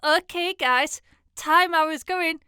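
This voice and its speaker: A woman's voice: very high voice, takes it slowly, noisy background. A woman playing an agreeable, enthusiastic young woman in an audio play. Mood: cheerful.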